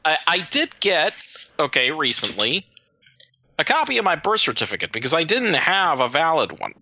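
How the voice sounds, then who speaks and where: A woman's voice: sits low, very animated, normal speed, bright, forceful tone, highs cut off. A woman in her forties from Puerto Rico, co-hosting a podcast in rambling casual chat with many restarts and asides. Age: 40s. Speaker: podcast co-host.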